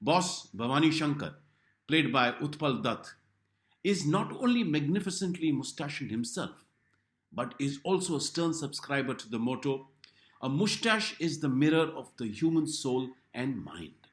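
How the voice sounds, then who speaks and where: A man reading a scripted review in a wry, dramatic manner with deliberate pauses. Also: a little high, sing-song, roomy sound. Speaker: film review narrator. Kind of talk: scripted narration.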